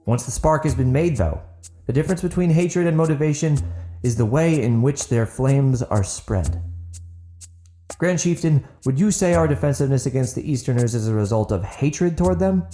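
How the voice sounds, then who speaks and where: Man acting bold male chieftain, raised pitch, bright forceful tone, formal measured oratory, fantasy audiobook. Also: lively intonation, faint music behind, natural voice. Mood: persuasive. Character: outspoken diplomatic male chieftain, bold and persuasive.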